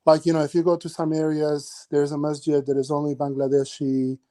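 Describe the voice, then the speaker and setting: A man's voice: on the high side, flat delivery, a little fast, dark tone. A man from Honduras calling into a livestream, earnest and hesitant as he talks. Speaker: caller. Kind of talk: casual chat on a live call.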